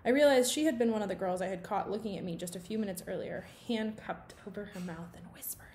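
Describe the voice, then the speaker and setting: A woman reading a children's novel aloud in an expressive storytelling style. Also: mid-range voice, fast talker, slight background noise.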